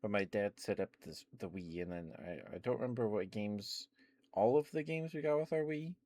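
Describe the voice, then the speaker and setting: A young man chatting in a soft, rambling ASMR style, wandering between thoughts with lots of fillers and quiet laughs, close to the microphone. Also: lowish voice, normal speed, no echo. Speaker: ASMR streamer.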